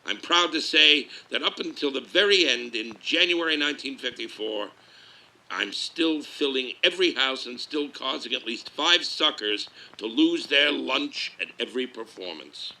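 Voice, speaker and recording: A man's voice: medium pitch, lively intonation, moderate pace, vocal fry, sharp tone, heavily acted, rough recording. A man playing a brash, grandiose exploitation-film producer, boastful and hammy like a carnival barker. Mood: proud. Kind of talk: 1950s showman's pitch and memoir-style storytelling.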